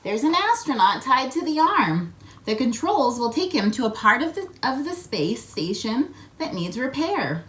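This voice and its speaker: A woman reading a children's picture book aloud in a playful, expressive way, prompting young listeners with questions. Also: on the high side, a little slow. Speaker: children's read-aloud host.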